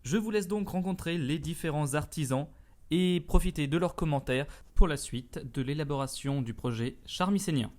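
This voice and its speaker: A man's voice: fairly high pitch, normal speed, a little mellow. A man presenting formally in a video clip, recorded in an echoey room with faint ambience.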